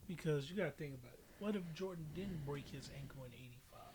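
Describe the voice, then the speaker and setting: Male American podcast host, slightly high voice, casual opinionated sports talk, light background noise. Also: lively intonation, fast. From Chicago, United States. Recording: noisy amateur podcast audio.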